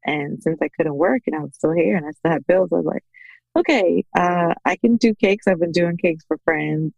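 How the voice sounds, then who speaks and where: A woman with a somewhat low voice and noticeable vocal fry chats as a podcast guest. She tells her own story in a conversational way, livening up when she quotes others. The recording is dry and close. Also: normal speed, darkish tone, no echo, highs cut off. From Bahamas. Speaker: podcast guest, small business owner. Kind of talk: conversational personal storytelling in an interview.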